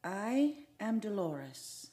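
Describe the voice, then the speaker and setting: An actress playing a mysterious, imperious sea woman who longs for love, speaking formally and deliberately in an old-time radio drama. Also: medium pitch, very animated, warm tone. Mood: yearning, grave.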